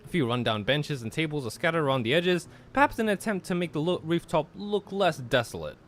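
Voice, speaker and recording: Male game host, very animated mid-range voice, casual read-aloud with stumbles, faint music underneath. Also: a little high, fairly quick. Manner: stumbles over words while reading. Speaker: host of group play-along. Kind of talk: reading game narration aloud with casual banter.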